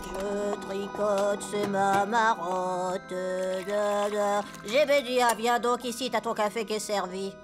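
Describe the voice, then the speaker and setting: A woman's voice: medium pitch, little pitch movement, takes it slowly, few pauses, natural voice. A woman voicing a doting, deferential housewife mother in a French-dubbed cartoon. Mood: cheerful, singsong. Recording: loud music behind the voice.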